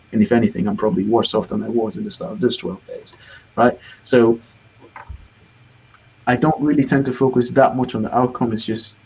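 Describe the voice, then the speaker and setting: A man guesting in a casual interview, relaxed and reflective with self-deprecating humor. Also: on the low side, creaky, dull audio, a little hiss or hum. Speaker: business coach guest.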